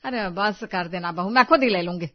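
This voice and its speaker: A woman voicing a wry, exasperated but affectionate older mother-in-law in an animated family story, teasing and grumbling. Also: mid-range voice, normal speed, muffled recording, close to an everyday voice. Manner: teasing, dry-witted grumbling.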